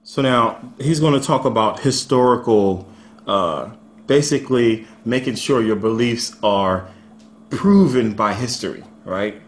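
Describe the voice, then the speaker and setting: A man's voice: medium pitch, measured pace. Man giving casual unscripted commentary. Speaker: video commentator.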